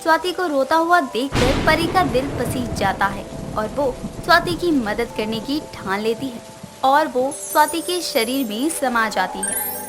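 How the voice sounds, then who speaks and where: High-voiced woman narrating a story. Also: expressive, a little slow, over music. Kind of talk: scripted moral-story narration.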